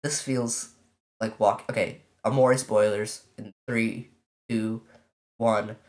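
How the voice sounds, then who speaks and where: A let's player casually commenting on a visual novel and reading its text aloud, in an informal, halting way. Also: medium pitch, steady tone, darkish tone. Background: game music.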